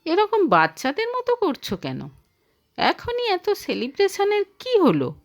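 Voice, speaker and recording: Woman voicing a scornful husband, high incredulous pitch. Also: wildly swooping pitch, measured pace, heavily acted. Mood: dismissive. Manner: belittling, questioning. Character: dismissive, scornful, self-centred husband in a marriage drama.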